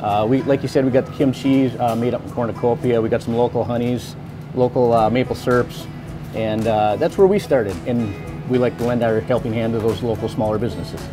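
A man's voice: medium pitch, a little fast, over music. A man in his forties, a shop owner, casually and matter-of-factly explaining his products to a visitor. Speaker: grocery store owner and sausage maker. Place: small grocery store and its back room.

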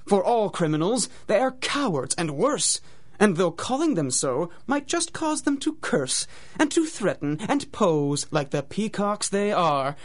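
Theatrical higher-voiced radio drama narrator. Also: male voice, sing-song. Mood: grave, dramatic. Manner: theatrical, measured storytelling. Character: dramatic radio announcer telling a pulp tale. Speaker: announcer and narrator. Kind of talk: rhymed storybook narration in old-time radio drama style.

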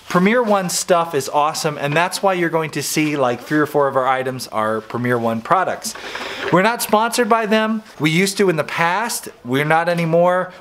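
An upbeat man in his thirties talks through a hands-on product demo in a slightly higher voice, eager and chatty, stumbling now and then. He is filmed on a handheld camera held at arm's length. A faint hiss runs under his voice. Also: fairly bright tone. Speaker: homesteading channel host and father. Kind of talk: casual product demo vlog. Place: wooden barn and outdoor farmyard.

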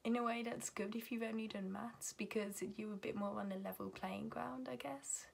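Young uk student girl, raspy sick voice, kinda gravelly and breathy, chatty vlog from her bedroom. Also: mid-range voice, fairly even intonation, moderate pace. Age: young adult. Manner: chatty, self-deprecating, often half-whispered. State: raspy voice from being ill. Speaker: university student vlogger. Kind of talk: casual chat to camera. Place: bedroom at home, indoors.

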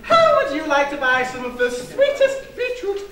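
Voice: high-pitched, huge pitch swings, moderate pace, sharp tone, full character voice. A performer playing a haughty, easily offended vegetable seller in a live stage pantomime, with exaggerated theatrical delivery. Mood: wheedling, pushy. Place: theatre stage, distant microphone. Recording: echoey noisy theatre stage from far off.

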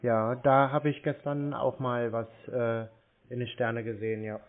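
A man's voice: mid-range voice, flat delivery, a little slow. A man with a mid-range voice plays the naive customer on a prank call. He hesitates and rambles as he talks. His voice comes through a muffled, narrow phone line. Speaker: prank caller posing as a customer. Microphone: telephone.

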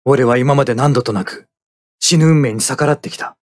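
A man's voice: mid-range voice, moderate pace, no room sound, lightly acted. An actor playing a stoic young male mecha pilot in an anime combat game, speaking in terse, tactical military phrases. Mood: cool, composed.

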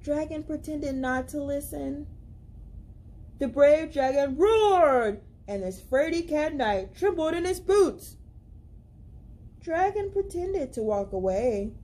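A young woman with a high voice reads a picture book aloud for children. Her delivery is slow and deliberate, with dramatic emphasis on key words, in an expressive storytime style. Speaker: library storyteller. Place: indoors, library among bookshelves.

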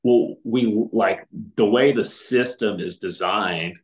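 A man's voice: fairly low pitch, slow, muffled recording. A middle-aged man talking earnestly over a video call, often restarting his sentences. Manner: many restarts and fillers, earnest. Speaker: podcast guest. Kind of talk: casual video call chat. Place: home room with windows. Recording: roomy echo.

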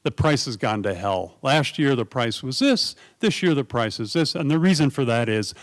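A man's voice: mid-range voice, moderate pace. Middle-aged new york guy at a panel table, chatty storyteller, does little voices for people he quotes. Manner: animated anecdotal, acts out quoted voices. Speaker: panel speaker. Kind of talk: conversational storytelling. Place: conference panel table, indoors. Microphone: table mic on stand.

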